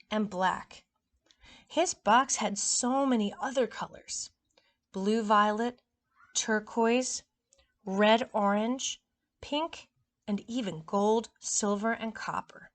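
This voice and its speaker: A woman with a mid-pitched voice reads a picture book aloud for young children. She tells it expressively, like a teacher, and talks to her listeners in a chatty, informal way.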